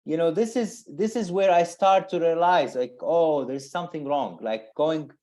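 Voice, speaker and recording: A man with a Palestinian accent, an interview guest telling personal stories informally, haltingly and with repeated words. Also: on the high side, dark tone. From Bethlehem, Palestine.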